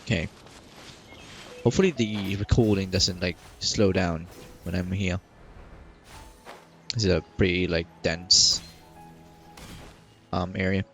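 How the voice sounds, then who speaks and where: A man's voice: low-pitched, fairly even intonation, takes it slowly, pauses often, a bit bright. A man giving casual let's-play commentary, rambling chattily and reacting aloud. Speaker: gaming streamer. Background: faint game music.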